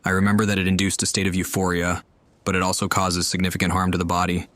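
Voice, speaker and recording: Deep, deadpan male game streamer. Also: fast talker, dry recording. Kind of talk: offhand gameplay commentary.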